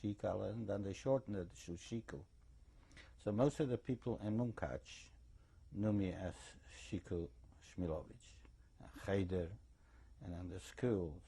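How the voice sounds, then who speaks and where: An older man from eastern Czechoslovakia with an Eastern European accent, giving recorded testimony as an interviewee in a deliberate, anecdotal way. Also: low-pitched, warm tone, slightly rough. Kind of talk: recorded oral history testimony.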